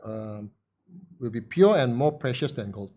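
A man preaching a sermon at a church pulpit, speaking in short measured phrases and pausing for an interpreter. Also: medium pitch, lively intonation, darkish tone. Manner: measured, pauses often for the interpreter. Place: church sanctuary, standing at a pulpit. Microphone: pulpit microphone.

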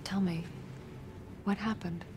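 An actress playing a weary, guarded young psychic woman in a video game, speaking in a measured, dry, hesitant way. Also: medium pitch, steady tone, gravelly, very noisy. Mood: gentle, curious. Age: young adult. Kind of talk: cinematic video game acting. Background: game music.

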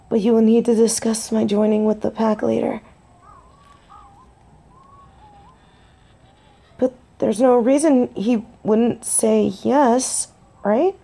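A woman's voice: a little high, mellow. A woman playing a tender, nervous young biker girlfriend in an intimate roleplay audio drama, speaking hesitantly and breathily. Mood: hopeful. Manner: hesitant, breathy, halting delivery with whispers.

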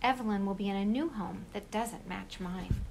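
A woman reading a children's picture book aloud in an expressive storytelling style. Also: medium pitch, normal speed, creaky, a little hiss or hum.

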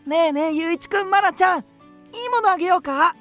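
A cheeky little neighbour boy in a radio play, extremely high and chirpy, with light music in the background. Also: quick pace, sharp tone. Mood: teasing, cheerful.

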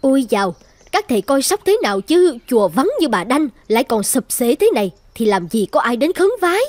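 A woman's voice: fairly high pitch, big pitch swings, fairly quick, a little mellow. A woman playing a skeptical, gossiping village woman in a cartoon, chatty and grumbling. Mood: dismissive, grumbling. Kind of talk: lively cartoon voice acting.

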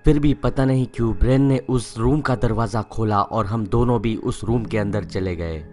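A young man narrating a first-person horror story in a steady storytelling voice, slipping English phrases into his telling, and closing as the channel's host. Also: lowish voice, normal speed, faint music behind.

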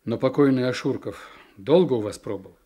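An actor playing an earnest, inquisitive official in a radio drama, speaking in a measured, educated way. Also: male voice, mid-range voice, mellow, husky, close to an everyday voice. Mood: probing. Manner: measured, educated, probing questions. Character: earnest, inquisitive male official, new district chief. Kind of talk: Soviet radio drama acting.

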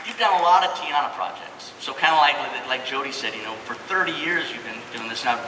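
A man's voice: mid-range voice, lively intonation, fairly quick. Chatty man hosting in an echoey hall. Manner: chatty, jokey storytelling. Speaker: panel host. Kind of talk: casual onstage panel hosting. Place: large convention hall stage. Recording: echoey hall PA, distant, noisy crowd.

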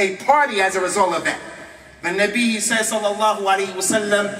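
A middle-aged American man preaching a mosque lecture at a stand microphone, animated and mixing Arabic phrases into his English. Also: sits high. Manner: animated, gestures widely, mixes in Arabic phrases. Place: large mosque hall, indoors. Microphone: stand microphone, filmed from a distant camera. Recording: echoey hall, distant camera mic.

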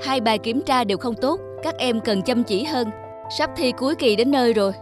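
A woman's voice: fairly high pitch, a little fast, close to an everyday voice. A woman acts a cartoon schoolteacher, earnest and a little stern. She admonishes her class, with music underneath. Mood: disappointed. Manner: clear classroom address, admonishing. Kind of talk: cartoon dialogue.

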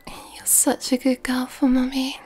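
A woman playing a nurturing, gently dominant, affectionate girlfriend in an ASMR roleplay, in a soft breathy whisper. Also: sits high, monotone, a little slow, faint music behind. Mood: tender, reassuring.

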